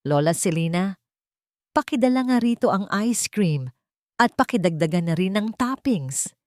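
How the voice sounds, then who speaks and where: A woman's voice: medium pitch, animated, normal speed, darkish tone, dry recording, lightly acted. A woman narrator acts out a young wife in an audio family drama. She is sugary and persuasive, with a manipulative streak. The sound is dry and close. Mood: bossy.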